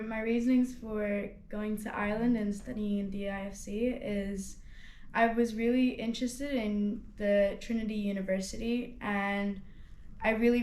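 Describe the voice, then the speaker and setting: A young woman of nineteen, raised in Hong Kong, speaks in a relaxed testimonial. Her voice sits at a middle pitch and she talks loosely, full of fillers and restarts. The room adds a noticeable echo. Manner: chatty, lots of "like" and restarts. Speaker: student ambassador. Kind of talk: casual testimonial interview. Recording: roomy echo.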